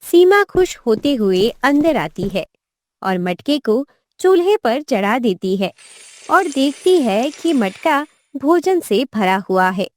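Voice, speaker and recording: Slow, lively high-voiced woman storyteller. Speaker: narrator. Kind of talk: scripted storytelling narration for Hindi cartoon.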